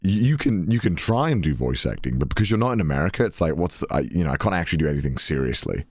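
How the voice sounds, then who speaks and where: A man's voice: rumbling low, rapid-fire, dry recording. A British man in his twenties chatting casually on a podcast, rambling and often restarting his sentences. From United Kingdom. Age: 20s. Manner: rambling, self-correcting, animated. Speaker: podcast guest.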